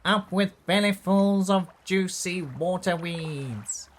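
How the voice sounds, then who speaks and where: A man's voice: high-pitched, slow pace, voice pushed up. A man voicing cheery, friendly duckbill dinosaurs from a storybook with exuberant hooting chants. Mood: joyful.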